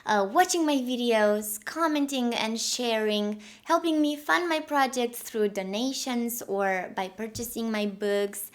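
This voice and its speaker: A Romanian woman in her thirties speaks with a high, bright voice. She narrates her teaching channel's story in a cheerful, grateful way. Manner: cheerful and grateful, upbeat delivery. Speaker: language teacher and channel host. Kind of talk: anniversary video narration.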